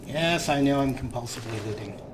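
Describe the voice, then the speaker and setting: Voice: mid-range voice, fairly quick. A let's play host giving casual, wry game commentary and playfully talking to the characters. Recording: noisy, game ambience behind voice.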